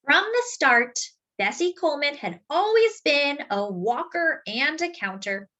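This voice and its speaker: Woman, higher-pitched voice, very animated intonation, children's read-aloud storyteller, expressive, deliberate phrasing, emphatic drawn-out words. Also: lo-fi sound.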